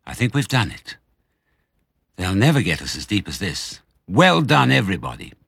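A man's voice: lowish voice, huge pitch swings, moderate pace, gravelly, fairly bright tone, breathy edge, no echo, studio quality, full character voice. A man voicing a crafty father fox in a storybook, exclaiming and giving orders in urgent, heroic bursts. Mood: commanding, relieved.

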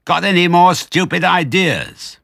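A man's voice: high-pitched, animated, unhurried, vocal fry, bright, forceful tone, no echo, studio quality, voice pushed up, exaggerated voice. A man voicing a tiny pot-bellied villain farmer in a storybook, grumpy, sneering and sarcastic. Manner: snappish, sarcastic.

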